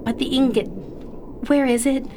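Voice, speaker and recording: A woman's voice: high-pitched, steady tone, moderate pace, noisy background, lightly acted. A woman playing an earnest, desperate young daughter in a melodramatic audio drama. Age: young adult.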